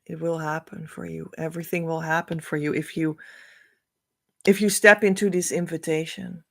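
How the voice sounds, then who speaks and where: A middle-aged woman whose first language is Dutch, giving an oracle card reading as a spiritual coach, speaking to camera in a slow, musing way. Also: low-pitched, little pitch movement, creaky. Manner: slow, musing, drifts between soft and louder emphasis.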